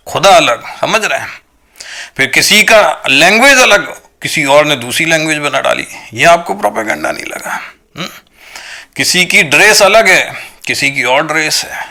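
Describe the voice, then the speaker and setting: An Indian man delivers an argumentative commentary straight to his viewers. His voice sits high for a man, with a sharp, forceful edge and a gravelly creak. He presses his points through repeated rhetorical questions, often checking that listeners follow. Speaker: video commentator. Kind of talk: opinionated monologue to viewers.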